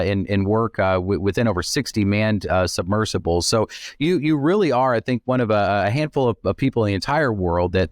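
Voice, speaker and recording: A man with a fairly low voice speaks as a podcast host in a conversational interview style. His delivery is polite and somewhat halting. The recording is dry, with no room sound. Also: dry recording.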